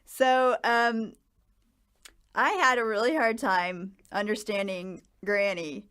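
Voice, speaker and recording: A woman hosting a casual panel podcast, talking in a halting, rambling way as she asks questions. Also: mid-range voice, steady tone, a bit bright. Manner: hesitant, lots of ums and restarts. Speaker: host.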